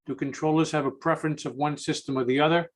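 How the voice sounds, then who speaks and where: A man moderating a question session, relaying questions in a casual, somewhat halting way. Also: on the high side, steady tone. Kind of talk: relaying audience questions informally.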